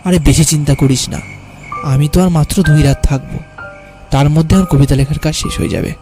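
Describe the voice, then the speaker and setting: A man playing a carefree, stubborn young city poet who tells his own tale in an animated horror story. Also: a little high, brisk, music in the background, natural voice. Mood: curious, dismissive. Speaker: narrator and lead character. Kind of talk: first-person story narration and cartoon dialogue.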